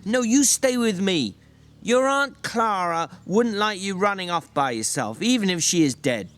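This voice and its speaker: A man's voice: sits high, animated, unhurried, fairly bright tone, pitched above the performer's own voice. A man voicing an exasperated, ranting ranch drifter with a secretly caring streak in a dramatic novel reading. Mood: placating.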